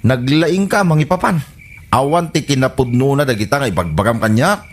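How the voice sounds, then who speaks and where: A man's voice: mid-range voice, animated, fairly quick, close to an everyday voice. A man with a Filipino accent playing a defensive, exasperated husband in a comedy radio drama, full of protests and exclamations. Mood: defensive, irritated. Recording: music behind the voice.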